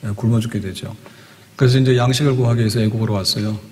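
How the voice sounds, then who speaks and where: A man's voice: mid-range voice, fairly even intonation, fast, darkish tone. Older male pastor preaching in a reverberant hall. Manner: explanatory storytelling with rhetorical questions to the congregation. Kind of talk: sermon. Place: church hall, indoors at a pulpit. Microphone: podium microphone. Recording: long hall reverb, church sound system.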